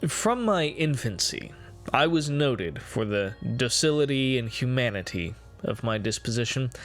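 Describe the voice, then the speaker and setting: A man gives a dramatic reading of a gothic horror story, with measured, deliberate phrasing and dramatic pauses. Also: mid-range voice. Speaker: amateur reader of a classic horror story. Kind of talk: dramatic reading of gothic first-person fiction. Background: music behind the voice.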